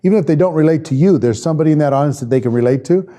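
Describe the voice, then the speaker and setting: A man with a mellow, relaxed voice that sits a little high for a male speaker. He leads an informal live online class as a trainer, talking conversationally and often thinking aloud. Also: very fast. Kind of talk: informal live lesson, reading and answering viewer comments.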